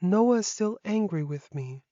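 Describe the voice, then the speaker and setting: A man voicing an anxious young werewolf woman in an audiobook, soft, earnest and plaintive. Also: high-pitched, expressive, unhurried, no echo, dull audio, voice pushed up. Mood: questioning.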